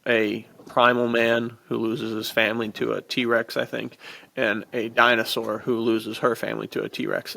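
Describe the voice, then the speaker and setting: A man's voice: medium pitch, vocal fry, a bit bright. A man co-hosting a podcast, chatting casually and enthusiastically in slangy, informal wording. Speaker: podcast co-host.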